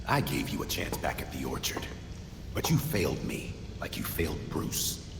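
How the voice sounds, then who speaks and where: A man's voice: extremely low pitch, big pitch swings, slow pace, creaky, heavily acted. An actor playing a menacing, smug male crime-cabal leader in a video game, theatrical and mocking. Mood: smug, contemptuous. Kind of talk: video game cutscene acting. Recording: echoey hall, background noise.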